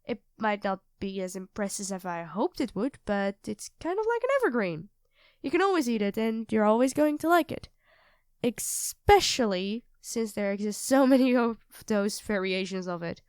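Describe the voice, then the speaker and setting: A woman's voice: mid-range voice, sing-song, normal speed, smooth, dry recording, clean studio sound, lightly acted. A woman playing a sweet, self-doubting young girlfriend in an intimate ASMR roleplay, speaking hesitantly and earnestly to her partner. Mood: gentle, thoughtful. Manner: hesitant, soft-spoken, earnest, addressing a partner directly.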